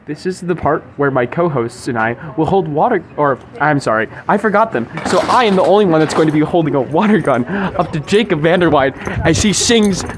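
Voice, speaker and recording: Higher-voiced man hosting, mock-formal. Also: lively intonation, fairly quick, hardly any pauses. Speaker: podcast host. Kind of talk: semi-scripted comedic podcast hosting. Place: local restaurant. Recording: faint background noise of public place.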